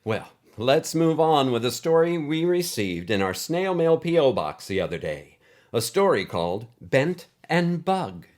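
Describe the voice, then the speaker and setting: A man narrating a scripted short story as a host, with expressive, animated delivery. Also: medium pitch, unhurried, a little hiss or hum.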